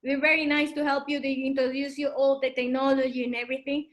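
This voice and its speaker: A woman's voice: high voice, level tone, fast. A woman hosting a casual interview, encouraging her guest and recapping answers in a conversational way. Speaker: interviewer, host.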